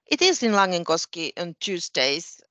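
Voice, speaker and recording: A woman who speaks Finnish natively, joining a casual discussion as a guest, halting and repeating words as she searches for phrasing. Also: fairly low pitch, very animated, fairly bright tone, no room sound.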